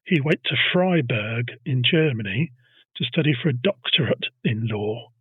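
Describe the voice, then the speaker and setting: Guy telling a history story on a podcast, bit husky, thin phone-ish sound. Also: medium pitch, expressive, moderate pace, dry recording, narrow, tinny audio. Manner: teasing, banters with co-host. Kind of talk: casual comedic storytelling.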